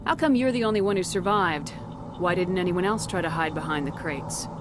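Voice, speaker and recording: An actress playing a commanding, no-nonsense space soldier in a video game, questioning people directly. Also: female voice, fairly low pitch, a little fast, background noise, lightly acted. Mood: suspicious. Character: brisk, commanding female space soldier hero. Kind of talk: dramatic game dialogue.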